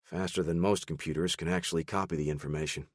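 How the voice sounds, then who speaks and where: A man voicing a calm, plainspoken male team lead who gives brisk orders. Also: low-pitched, no room sound, studio quality.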